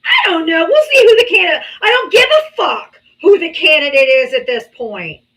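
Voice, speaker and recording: A woman's voice: very high voice, big pitch swings, normal speed, pushed and bright. Blunt, profane woman debate host. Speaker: host of a live political debate stream. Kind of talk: confrontational live-stream debate.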